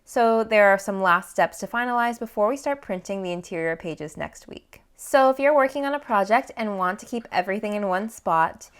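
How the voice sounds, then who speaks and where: A relaxed woman narrates her vlog in an easygoing, talkative way, with a mid-range voice for a woman. She explains step by step and drops in casual fillers as she goes. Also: mid-range voice, moderate pace, hardly any pauses. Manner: relaxed, chatty, frequent "like" fillers. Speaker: artist and vlogger.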